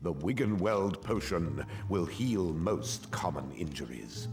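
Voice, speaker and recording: Game villain-like schoolmaster, British: low pitch, scornful, formal, withering delivery over clear music. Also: male voice, lively intonation, takes it slowly, exaggerated voice. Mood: lecturing. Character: stern, contemptuous male potions master. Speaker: voice actor. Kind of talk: video game cutscene acting.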